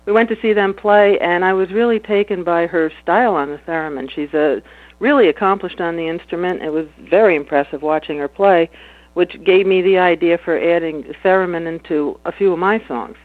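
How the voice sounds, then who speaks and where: A woman with a slightly low speaking voice, a musician chatting casually about her music in an interview, relaxed and laughing easily. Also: fairly quick, no room sound. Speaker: musician guest. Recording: tinny phone line.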